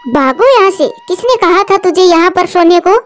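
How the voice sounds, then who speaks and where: A woman's voice: extremely high pitch, animated, moderate pace, soft background music. A woman voicing an angry, scolding big owl in a children's story cartoon. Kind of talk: Hindi children's moral story cartoon dialogue.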